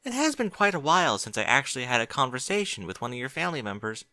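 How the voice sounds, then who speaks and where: A man's voice: mid-range voice, very animated, fast, sharp tone, no echo. A man voicing a cheerful, studious young unicorn mare in a fan fiction audiobook, speaking politely. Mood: friendly. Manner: polite, bookish.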